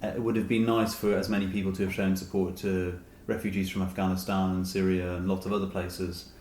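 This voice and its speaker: Low-voiced man in an echoey room. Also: little pitch movement, fairly quick, a little mellow. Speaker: interviewed author. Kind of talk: reflective interview talk. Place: indoor room with echo. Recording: echoey room, some background noise.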